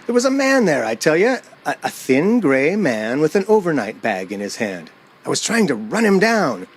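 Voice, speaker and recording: A man's voice: a little high, big pitch swings, normal speed, breathy edge. A man playing a frightened, haunted driver in a radio play, agitated and stammering as he pleads and narrates. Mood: frantic. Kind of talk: radio play acting, dramatic first-person narration.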